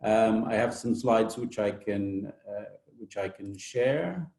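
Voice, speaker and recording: A man's voice: lowish voice, takes it slowly, gravelly, darkish tone. A middle-aged British man presenting informally at an academic webinar as a director, recorded with echoey room sound. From Britain. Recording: roomy, echoey webinar audio.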